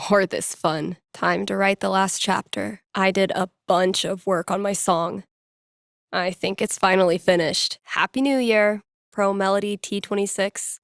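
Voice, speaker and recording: Woman acting young game girl dj, wry n playful, mumbling thoughts to herself, lots of vocal fry, super dry recording. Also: medium pitch, dry recording, close to an everyday voice. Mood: fond, content. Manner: dry, sarcastic, conversational. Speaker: video game character, radio DJ and record store worker. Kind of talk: video game voice lines, casual inner monologue.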